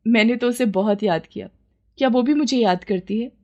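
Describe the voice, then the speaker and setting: A woman's voice: fairly high pitch, moderate pace, a little mellow, highs cut off, lightly acted. A woman playing a poor, humble village mother with a plaintive, deferential way of talking in a story. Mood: warm surprise.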